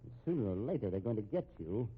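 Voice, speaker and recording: An actor playing an anxious, respectable American husband in a 1950s radio drama, speaking in a measured, pointed way. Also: male voice, lowish voice, sing-song, husky. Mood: bitter, pointed. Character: respectable, anxious, shrewd husband held hostage at home. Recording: muffled, tinny old radio recording.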